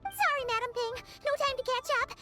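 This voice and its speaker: A woman voicing a tiny, excitable fairy girl in an animated game, chirpy, childlike and full of exclamations. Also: very high voice, fairly quick, music in the background. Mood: worried.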